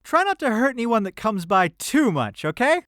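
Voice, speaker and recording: A man's voice: extremely high pitch, big pitch swings, moderate pace, fairly bright tone, no echo, studio quality, full character voice. A man playing an easygoing, helpful adventurer in an audio drama, with casual, friendly phrasing. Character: easygoing, helpful male adventurer and camp cook.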